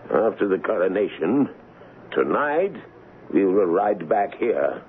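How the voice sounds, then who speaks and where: A commanding colonel and loyal schemer in a radio play. His voice is very low and swoops with persuasive emphasis. The broadcast sounds muffled and noisy. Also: big pitch swings, slow, full character voice. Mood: resolute. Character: shrewd, commanding royal colonel, loyal plotting strategist. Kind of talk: old-time radio drama acting.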